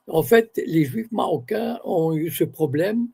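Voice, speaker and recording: An older man from Morocco, an author and academic, speaking as an interview guest in a measured way, often pausing to search for words and correcting himself. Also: high voice, animated, creaky, darkish tone, husky. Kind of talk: interview guest, reflective talk.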